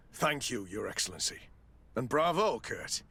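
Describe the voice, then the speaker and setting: An actor playing a smooth-talking, evasive official in a video game, formal and polite but demanding. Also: male voice, mid-range voice, big pitch swings, slow pace, sharp tone, no room sound, exaggerated voice. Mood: formal, satisfied. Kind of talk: video game cutscene acting.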